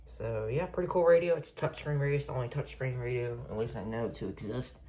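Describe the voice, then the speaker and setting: Rambling man over clear background noise. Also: mid-range voice, fast talker. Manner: rambling, offhand, trails off mid-thought. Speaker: collector showing off a weather radio collection. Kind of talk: casual, unscripted show-and-tell. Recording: noisy amateur recording with radios.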